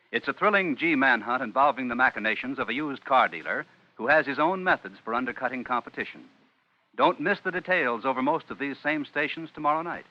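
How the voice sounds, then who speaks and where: Vintage radio promo man, punchy delivery, mid-range voice, muffled sound. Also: medium pitch. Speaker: radio announcer. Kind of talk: promotional 1950s radio announcing.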